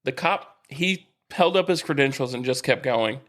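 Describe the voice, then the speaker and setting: A man's voice: medium pitch, animated, normal speed, a bit bright. A man co-hosting a sports podcast, telling stories in casual, colloquial, laid-back chat.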